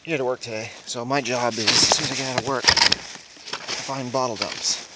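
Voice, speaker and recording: A man's voice: mid-range voice, fairly even intonation, brisk. A middle-aged man giving casual, terse running commentary in short fragments while digging outdoors, picked up by a camera at varying distance. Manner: terse, fragmented remarks while physically working. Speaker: amateur bottle digger. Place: outdoors in woods. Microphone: handheld or propped camera at varying distance. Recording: noisy outdoor camera audio with clicks.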